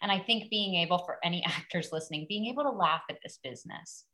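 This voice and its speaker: A woman's voice: on the low side, fast talker. A woman in her thirties, a guest actor on a podcast, telling stories in casual chat, acting out quotes and laughing at herself. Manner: animated storyteller who acts out quotes and laughs at herself.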